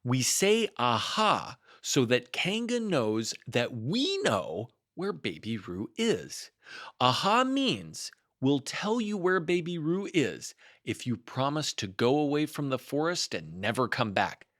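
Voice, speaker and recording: A man's voice: mid-range voice, very animated, slow, fairly bright tone, pitched above the performer's own voice. A man voicing a bossy, self-important storybook rabbit with an officious, lecturing manner. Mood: bossy, instructing.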